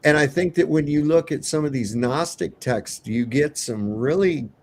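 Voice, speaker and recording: A man's voice: a little high, normal speed. A man hosting a casual panel discussion over a video call, talking in a conversational, emphatic way. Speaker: panel host.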